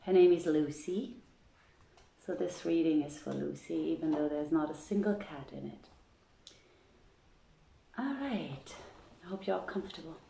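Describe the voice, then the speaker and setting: Low, slow-paced woman in an echoey room. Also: vocal fry, warm tone, a little hiss or hum. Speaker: host reading aloud at an online event. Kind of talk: informal livestream chat and storybook reading.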